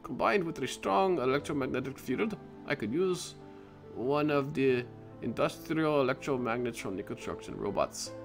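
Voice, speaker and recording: A man's voice: mid-range voice, expressive, normal speed, gravelly, faint music behind, voice pushed up. A man voicing a troubled male Russian space station scientist with a clumsy put-on Russian accent, reading his logs aloud. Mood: determined.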